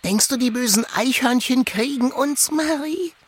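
A woman voicing a small, childlike animal companion with a raspy, croaky voice in a children's radio play, timid yet plucky and drawn out. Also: high-pitched, measured pace. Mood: anxious.

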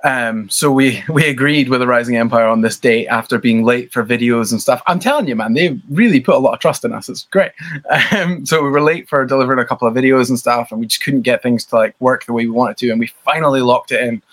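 A man's voice: mid-range voice, fast, a bit bright. A Scottish man in his thirties, a band member talking casually as an interview guest, rambling and self-deprecating with lots of fillers.

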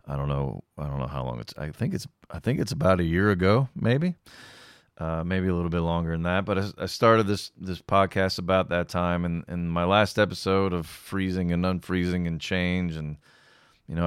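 A middle-aged man with a calming voice, hosting his own podcast in a relaxed, rambling way with self-deprecating humor. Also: low-pitched, clear, resonant tone, no room sound, clean studio sound. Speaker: podcast host. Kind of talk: casual solo podcast monologue.

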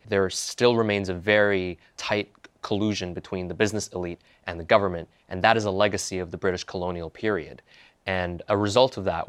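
A young man from Hong Kong, a university student, answering interview questions in a measured, explanatory way and laying out his points one by one. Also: low voice, fairly bright tone, clean tone. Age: young adult. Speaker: activist guest.

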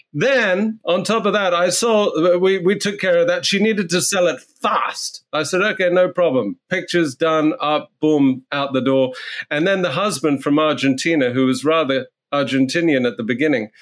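A man working as a real estate agent, telling stories in a casual interview in a blunt, animated way and swearing freely. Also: fairly high pitch.

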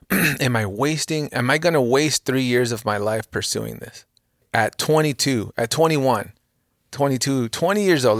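A man's voice: medium pitch, vocal fry, no room sound. A man in his thirties giving earnest, motivational advice in a casual podcast conversation. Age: 30s.

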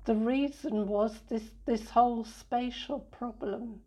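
Slow, mellow-voiced English woman. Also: fairly high pitch, steady tone. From England. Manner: unhurried, reflective, many fillers and restarts. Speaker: interviewee. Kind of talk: conversational interview answers.

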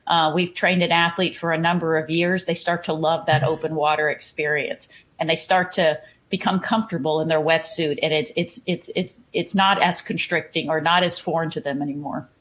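A woman's voice: sits low, fairly even intonation, normal speed, a bit bright. A woman with a Texan accent, a triathlon coach chatting in a podcast interview and often repeating words as she starts a thought. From Houston, Texas.

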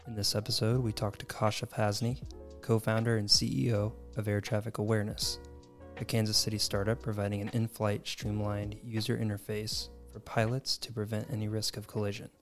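A man's voice: lowish voice, little pitch movement, fairly quick, faint music behind. A man hosting a podcast, easygoing and joking with his guest. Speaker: podcast host.

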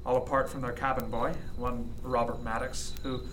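An actor playing a reflective, modest sea captain of the early 1700s in a radio drama, measured and halting. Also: male voice, medium pitch, little pitch movement, fairly bright tone, slight background noise, natural voice, poor audio. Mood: somber, reminiscing. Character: reflective, modest sea captain in 1717, former cabin boy. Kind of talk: period radio drama acting, storytelling.

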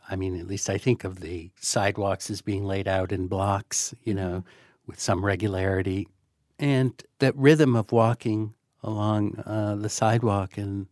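A man's voice: on the low side, a little mellow, no room sound, clean studio sound. A man musing conversationally as he takes part in a thoughtful discussion of literature. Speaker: poetry magazine editor, podcast panelist.

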